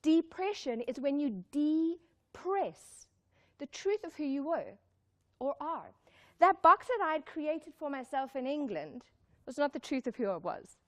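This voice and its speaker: A woman's voice: high-pitched, animated, normal speed, bright, forceful tone. A woman giving a live motivational talk, speaking emphatically, repeating key lines and putting questions to her listeners. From born in Zimbabwe, raised in South Africa. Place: live talk before an audience.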